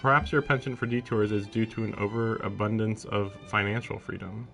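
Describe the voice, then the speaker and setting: A man with a slightly low, forceful voice streams a visual novel. He moves between reading the story aloud and casual, wry commentary, sounding openly incredulous. Soft music plays behind him. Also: steady tone, brisk, creaky. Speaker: live streamer. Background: game music with strings.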